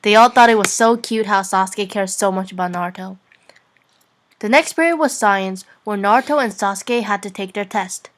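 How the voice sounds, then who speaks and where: A woman's voice: mid-range voice, moderate pace. A woman narrating fan fiction in an informal, amateur reading-aloud style. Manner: giggly, informal.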